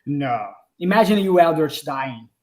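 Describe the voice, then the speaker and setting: Brazilian-accented developer with halting speech. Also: male voice, a little high, lively intonation, a crowd in the background. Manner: hesitant, restarts often, searching for words. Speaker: game developer. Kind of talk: casual livestream Q&A. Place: home office. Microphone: video call.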